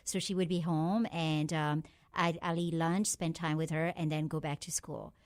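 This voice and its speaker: A woman from Pakistan, a guest on a radio show, recounting her life in a measured storytelling way with frequent fillers. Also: sits low, flat delivery. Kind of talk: interview answers.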